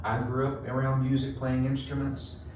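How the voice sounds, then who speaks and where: A middle-aged man with a North Carolina Southern accent talks in a folksy, animated way, spinning stories with a drawl. He sits some distance from the mic, so his voice rings around a very echoey room. A clear layer of background noise runs under him. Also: medium pitch, normal speed. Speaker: marketing agency co-founder, guest. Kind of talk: conversational podcast interview. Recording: roomy echo, distant mic, noisy.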